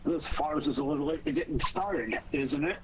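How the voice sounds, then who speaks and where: An actor playing an indignant, agitated city official, a cornered villain in a 1940s radio drama. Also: male voice, fairly high pitch, moderate pace, lightly acted. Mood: irritated. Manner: querulous, agitated protesting. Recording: muffled, noisy 1940s radio recording.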